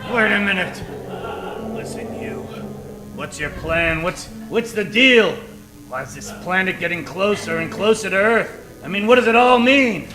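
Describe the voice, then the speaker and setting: Man playing blustering space captain, wildly swinging pitch. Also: lively intonation. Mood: agitated. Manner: overwrought, halting, exclamatory. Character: blustering melodramatic male space captain hero. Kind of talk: old-time radio comedy acting. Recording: roomy echo, music underneath.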